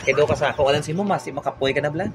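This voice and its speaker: A man's voice: fairly high pitch, racing, close to an everyday voice. A man playing an easygoing young coworker in an amateur audio drama, casual and agreeable.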